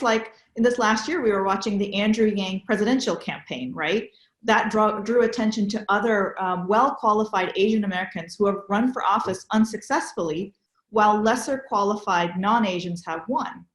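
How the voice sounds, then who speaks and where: A woman's voice: medium pitch, echoey room. A woman from the San Francisco Bay Area hosting a conversation, explaining ideas in a thoughtful way. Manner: explanatory, thoughtful, frequent "you know". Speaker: podcast host. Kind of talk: conversational interview.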